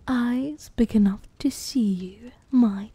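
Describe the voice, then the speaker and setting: A woman's voice: fairly high pitch, warm tone, dry recording, exaggerated voice. A British woman voicing a sadistic, possessive female werewolf in a teasing, menacing, whispered ASMR roleplay. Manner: slow, teasing, menacing, breathy murmur. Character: sadistic, possessive female werewolf-witch hybrid toying with prey.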